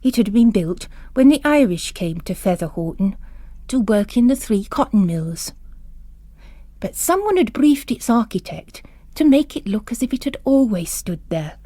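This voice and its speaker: A woman narrating literary fiction in a dramatic, expressive, deliberate way. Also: medium pitch, no room sound.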